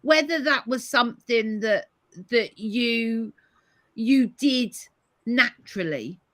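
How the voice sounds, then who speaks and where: A woman hosting a live-stream conversation over a video call, hoarse today, thoughtful as she reads out viewer comments. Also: fairly high pitch, animated, slow, fairly bright tone, no echo. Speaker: host.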